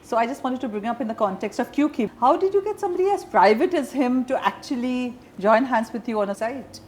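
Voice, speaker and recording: A woman's voice: a little high, expressive, brisk, darkish tone, a little hiss or hum. A woman interviewing a guest in a conversational style. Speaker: interviewer, journalist.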